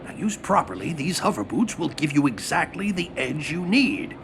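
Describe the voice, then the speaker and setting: An actor playing a seasoned old alien general in a video game, a gruff, commanding mentor who instructs theatrically. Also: male voice, a little high, very animated, normal speed, a bit bright, slight background noise, full character voice. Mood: instructing. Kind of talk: video game cutscene acting.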